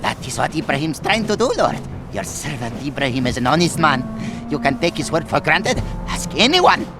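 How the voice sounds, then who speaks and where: An actor playing a servile, self-pitying desert trader with a pleading, theatrical manner in a video game cutscene. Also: male voice, fairly high pitch, big pitch swings, moderate pace. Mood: fawning, pleading. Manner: fawning, pleading, melodramatic. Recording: music behind the voice.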